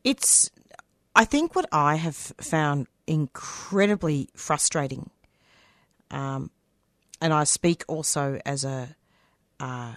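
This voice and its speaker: A woman radio interviewer from a non-English speaking background, asking measured, thoughtful questions. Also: deep voice, lively intonation, no room sound, studio quality. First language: non-English language.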